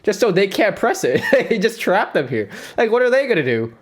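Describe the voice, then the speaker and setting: A man's voice: sits high, very animated, a little fast. A man streaming, giving rambling, playful reaction commentary on a dating show and laughing often.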